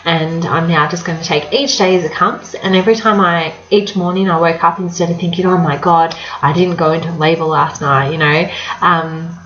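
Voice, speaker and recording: A young woman chats casually to her camera, full of ums and friendly advice. Her fairly low voice is a little nasal from a cold. The sound is roomy and slightly muffled, like a home recording. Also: fairly even intonation, normal speed. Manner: chatty, warm-hearted advice with many fillers. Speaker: vlogger.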